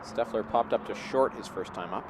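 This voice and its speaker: A man's voice: lowish voice, normal speed. A man giving live play-by-play baseball commentary as the game unfolds. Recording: clear outdoor background noise.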